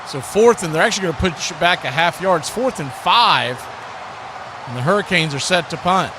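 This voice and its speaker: A man's voice: on the high side, animated, fairly quick. A man calling live football play-by-play for a radio broadcast. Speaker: play-by-play announcer. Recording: clear background noise.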